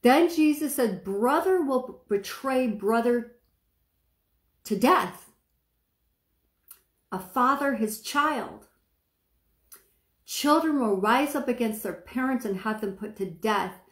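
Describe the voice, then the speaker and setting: A middle-aged woman with a soft speaking voice, preaching casually to a phone camera and checking that listeners follow her. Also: fairly high pitch, lively intonation, slow, long pauses, echoey room. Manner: chatty, asks the viewer questions, stretches words for emphasis. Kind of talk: informal sermon to camera. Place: small room indoors, seated.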